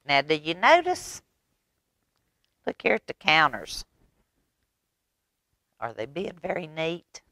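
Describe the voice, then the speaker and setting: Animated, unhurried woman reading a storybook. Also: low-pitched, gravelly, dry recording, studio quality. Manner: animated, unhurried, chatty asides to listeners. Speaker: librarian reading aloud at storytime. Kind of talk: expressive picture-book storytime reading for young children.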